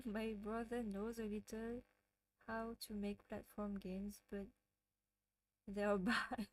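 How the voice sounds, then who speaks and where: A woman's voice: mid-range voice, little pitch movement, takes it slowly, no room sound, poor audio. A woman from France with a French accent, hosting a casual livestream and chatting with viewers, often giggling. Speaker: livestream host.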